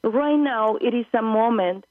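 A woman's voice: a little high, unhurried, no room sound. A woman in her forties from Argentina with an Argentine Spanish accent, a native Spanish speaker earnestly searching for English words as a guest in a phone-in interview. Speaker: guest, spiritual travel leader. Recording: tinny phone line.

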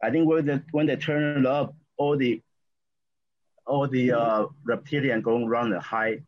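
A man from Taiwan with a Taiwanese accent, a guest talking in a halting, rambling way with lots of fillers and restarts. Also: mid-range voice, steady tone. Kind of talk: casual interview talk. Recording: dull lo-fi audio.

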